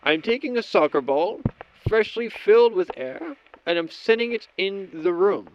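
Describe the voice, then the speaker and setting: A man playing a methodical scientist in an audio drama, narrating each step of an experiment log in a measured, clinical way. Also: high-pitched, lively intonation. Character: methodical male scientist doctor logging experiments on tape. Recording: dull lo-fi audio with background noise.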